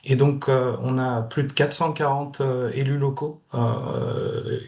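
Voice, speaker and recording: A Moroccan-born man in his thirties talks over a video call at a middling pitch. He speaks hesitantly, stopping often on filler sounds as he tells his story. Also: steady tone, takes it slowly, darkish tone. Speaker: guest activist. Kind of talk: casual video call interview.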